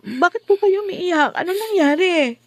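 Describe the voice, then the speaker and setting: A woman's voice: very high-pitched, lively intonation, normal speed. An actress playing a tender, emotional young woman, a loving granddaughter, in a Filipino radio drama. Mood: worried. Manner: emotional, earnest, respectful.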